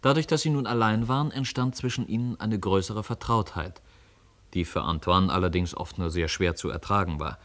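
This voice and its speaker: A man narrating a radio drama as a storyteller, reading literary prose in a measured, articulate way. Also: on the low side, a bit bright.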